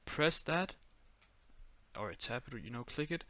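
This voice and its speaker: A young man with a Danish accent giving a step-by-step screen-recorded tutorial in a flat, monotone voice full of "uh"s and "um"s. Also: medium pitch, unhurried, lo-fi sound. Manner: monotone, many fillers. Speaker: tutorial creator.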